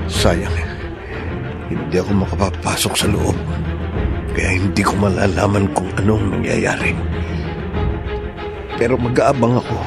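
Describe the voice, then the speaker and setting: A man playing a suspicious, watchful village husband in a radio drama, speaking in a deliberate, brooding way. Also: on the low side, vocal fry. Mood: brooding, puzzled.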